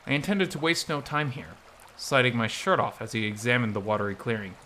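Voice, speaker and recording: A man's voice: mid-range voice, fairly quick, pushed and bright, a little hiss or hum. A man narrating a visual novel aloud in the first person, reading expressively.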